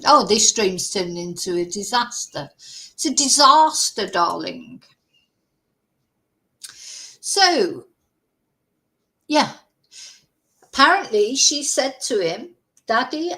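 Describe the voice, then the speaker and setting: A woman's voice: fairly high pitch, a bit bright. Lady on a true crime stream, chatty and opinionated, reading news out with asides. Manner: chatty, opinionated, drifting from article to own asides. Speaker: true crime channel host. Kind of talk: casual livestream chat, reading news aloud.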